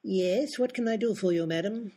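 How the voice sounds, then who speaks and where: A man's voice: high voice, normal speed, very noisy, lightly acted. An actor in a radio comedy playing a haughty male aristocrat who speaks formally and stiffly. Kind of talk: comic radio play acting.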